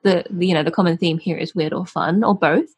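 A woman's voice: lowish voice, quick pace, a little mellow, husky. A woman hosting a podcast, gushing and affectionate, laughing often as she talks to her listeners. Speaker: podcast host. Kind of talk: warm podcast interview.

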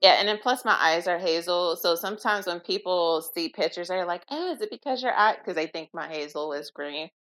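A woman of about forty speaks as an interview guest, her voice sitting on the low side for a woman. She sounds thoughtful and sincere, often restarting a phrase before she finds her point. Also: a little fast, few pauses, fairly bright tone. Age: around 40. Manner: reflective, earnest, with small restarts. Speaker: guest, minimalism business owner. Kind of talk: casual interview chat.

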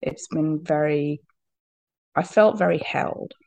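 A woman with a cold and a husky voice, talking candidly as a podcast guest, laughing often and restarting her sentences. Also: sits low, slow, a little mellow, no room sound, muffled recording. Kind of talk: candid personal conversation.

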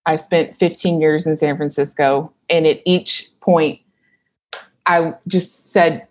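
Lower-voiced reflective woman guest. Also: steady tone, takes it slowly, darkish tone. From Kentucky. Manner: reflective, thinks aloud with fillers. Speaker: podcast guest, entrepreneur. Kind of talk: casual interview talk.

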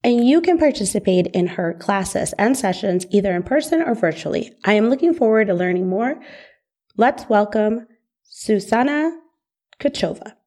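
A woman's voice: medium pitch, lively intonation, moderate pace, darkish tone. A woman hosting a podcast interview in an upbeat, friendly way. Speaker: podcast host.

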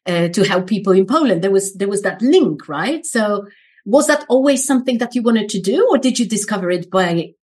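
A woman hosting an interview who asks questions in an encouraging way, with soft "um" and "mm" fillers. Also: medium pitch, animated, a little fast. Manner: warm and encouraging, fills with "um" and "mm". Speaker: interview host.

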